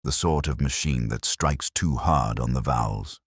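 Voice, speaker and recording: A man playing a brooding, erudite middle-aged detective, narrating an audio drama in measured, reflective, literary phrases. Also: extremely low pitch, husky, dry recording, studio quality, exaggerated voice. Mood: wry, uneasy. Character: brooding, erudite male English police detective, melancholy, observant.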